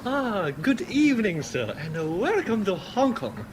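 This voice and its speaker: A welcoming, deferential hotel receptionist in a 1970s radio play. He speaks very slowly and formally in a somewhat high, lively voice. The old broadcast is clearly noisy. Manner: formal, measured. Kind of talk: 1970s BBC radio drama acting.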